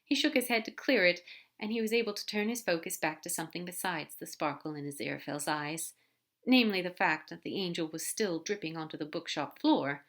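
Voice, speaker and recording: A woman with a fairly low voice narrating a story aloud. Her reading is calm and measured, steady from line to line. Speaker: podfic reader. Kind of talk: reading fan fiction aloud.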